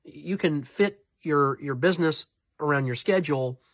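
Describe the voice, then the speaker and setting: A man with a slightly high, nasal voice, stuffed up from congestion. He talks straight to his viewers in an informal advice style, wandering and rewording as he goes. The sound is dry, with hardly any room in it. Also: normal speed, fairly bright tone, no room sound. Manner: rambling, self-correcting, repeats himself for emphasis. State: congested from allergies and sinus trouble. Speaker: voiceover artist giving advice.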